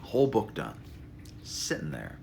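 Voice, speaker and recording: Male author, informal monologue. Very expressive pitch, wry and self-deprecating, some background noise. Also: a little slow, creaky. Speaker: author introducing his memoir. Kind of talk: informal spoken monologue, storytelling.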